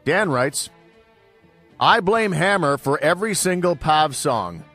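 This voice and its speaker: A man's voice: a little high, expressive, slow, fairly bright tone, faint music behind. A man co-hosting a sports radio show, bantering casually with dry sarcasm. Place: radio studio.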